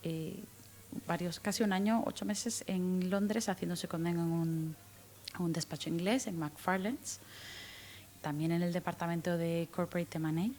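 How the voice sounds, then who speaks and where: A woman in her thirties from Guatemala, with a faint Guatemalan accent softened by Spanish from Spain, answering interview questions as a guest lawyer with frequent restarts and fillers. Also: lowish voice, normal speed. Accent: light Guatemalan, mostly Spanish from Spain. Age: 30s. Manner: thoughtful, many restarts and fillers, lively rises when retelling.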